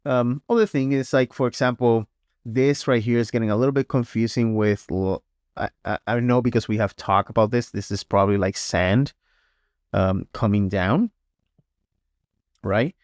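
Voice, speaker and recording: A man teaching a digital painting paintover, sounding congested and a little hoarse, talking casually with frequent "right?" checks and small restarts. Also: lowish voice, moderate pace, darkish tone, no echo, studio quality. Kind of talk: tutorial voice-over.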